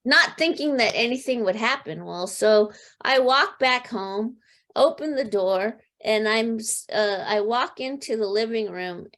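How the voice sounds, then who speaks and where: A middle-aged American woman, a podcast guest, telling a personal story in an earnest, halting way with many fillers and restarts. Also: on the high side, a bit bright. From United States. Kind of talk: casual storytelling.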